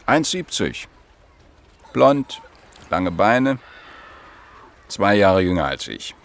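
A man's voice: on the low side, big pitch swings, drawn-out words, gravelly, heavily acted. An actor playing a married economist in his mid-thirties, wry and evasive, speaking in clipped phrases in a radio play. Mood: matter-of-fact, guarded. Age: middle-aged.

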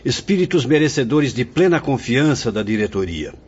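An actor in a radio drama playing a venerable, benevolent spirit mentor who speaks in a grave, formal, preaching manner. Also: male voice, mid-range voice, sing-song, moderate pace, darkish tone, breathy edge. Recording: muffled radio drama recording, faint background noise.

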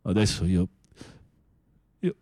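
An actor in an Italian radio drama playing a sensitive prisoner with a literary, dramatic, expressive way of speaking. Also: male voice, sits low, slow, dry recording, studio quality, heavily acted. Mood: melancholy. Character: theatrical, sensitive male prisoner telling melodramatic tales.

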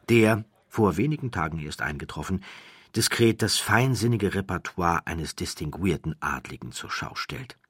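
A man reading a literary audiobook as a scripted narrator, with measured, deliberate phrasing and dramatic pauses. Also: low voice, a bit bright, slight background noise.

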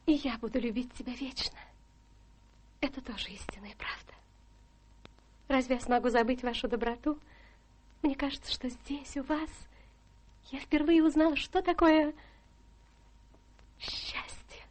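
Sweet young would-be governess, ingratiating, high voice, breathy and sentimental, radio drama. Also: female voice, measured pace, long pauses. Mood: effusive. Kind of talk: theatrical radio play acting.

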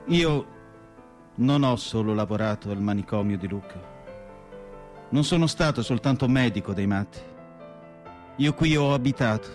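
An actor playing an elderly, melancholy asylum doctor and writer in a measured, solemn radio theatre monologue with pauses between short phrases. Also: male voice, mid-range voice, darkish tone, lightly acted. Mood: solemn, reflective. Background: instrumental music.

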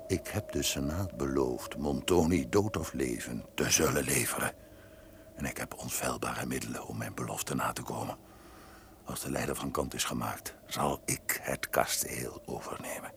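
A conspiring count in a radio play, with a rumbling, very low voice and heavy creak. He insinuates and schemes with deliberate, resentful menace. The old recording carries some noise. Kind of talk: Dutch radio drama acting.

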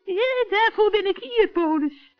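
Sly elderly witch, acted by a man, extremely high, falsely sweet, wheedling. Netherlands Dutch, vintage children's radio, dull sound. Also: lively intonation, a little slow, faint music behind. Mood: scheming, wheedling. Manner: cackling, wheedling, falsely sweet, stammering when flustered. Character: sly, scheming old female forest witch. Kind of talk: 1960s children's radio play acting. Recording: dull old radio recording.

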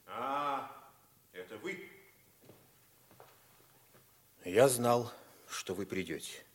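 An actor playing a kindly, concerned older mentor in a theatrical 1950s Soviet radio drama. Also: male voice, medium pitch, expressive, takes it slowly. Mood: reserved.

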